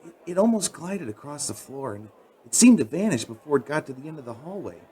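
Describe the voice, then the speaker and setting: Scared young male worker, earnest, shaken, higher-pitched voice, radio play, some background noise. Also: sing-song, a little fast, mellow. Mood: haunted. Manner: shaken, hesitant, earnest storytelling. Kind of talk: radio drama acting.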